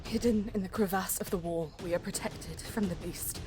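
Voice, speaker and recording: A woman's voice: mid-range voice, fairly even intonation, normal speed, natural voice. A woman playing a mystical, driven alien elf seer in an audio drama, speaking with solemn, theatrical intensity. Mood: tense narration. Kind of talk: dramatic first-person audio drama narration and dialogue. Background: music.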